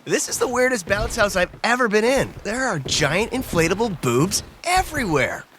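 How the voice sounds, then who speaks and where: A man's voice: high voice, big pitch swings, normal speed, noisy background. An actor playing a playful, flirty, slightly awkward man on a date in a romcom audio drama, with a hesitant, joking delivery. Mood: amazed, amused.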